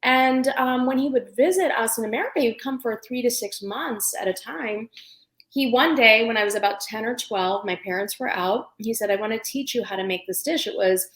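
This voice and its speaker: A middle-aged woman, raised in the United States, with a light Indian accent, telling stories as an interview guest in a lively, chatty way. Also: a little high, brisk. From India, raised in Pennsylvania. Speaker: guest, food blogger and cookbook author. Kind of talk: conversational interview storytelling. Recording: roomy echo.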